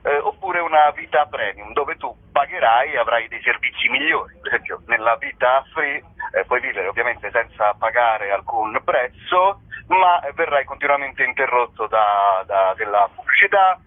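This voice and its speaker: A man's voice: mid-range voice, expressive, moderate pace. Male comedian, rambling and wry, promo chat over a thin telephone connection. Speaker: theatre comedian and writer presenting a show. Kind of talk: casual promotional interview. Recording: narrow-band telephone line, radio interview.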